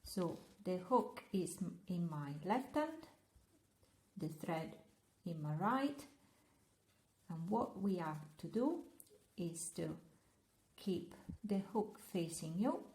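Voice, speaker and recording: An Italian woman walks viewers through crochet stitches at an unhurried pace, her voice mellow and soft-edged. She keeps it light and chatty, slipping in gentle jokes between instructions. The room around her sounds echoey. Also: fairly low pitch, big pitch swings. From Italy. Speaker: crochet teacher. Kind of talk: step-by-step craft tutorial.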